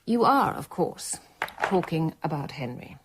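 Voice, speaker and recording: An actress playing a shrewd, calm gentlewoman in a radio drama, wry and composed. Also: deep voice, a little slow, breathy edge, heavily acted. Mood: gentle, earnest.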